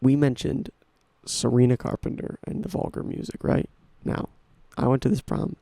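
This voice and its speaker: A young man in his late teens rambles happily through his favorite records, tripping over his own sentences as he goes. His voice has a gravelly, mellow low end, recorded close and dry with no room sound. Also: steady tone, moderate pace, no echo. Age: young adult. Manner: rambling, enthusiastic, restarts often. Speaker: podcast host. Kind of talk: casual solo talk about music.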